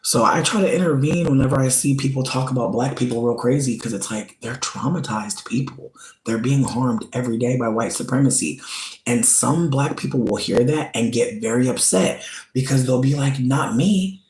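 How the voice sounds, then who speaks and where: A man's voice: mid-range voice, normal speed, darkish tone, echoey room, rough recording. A man hosting his own channel, talking straight to viewers in an earnest, pleading way and often repeating himself. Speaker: channel host. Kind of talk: direct-to-camera commentary.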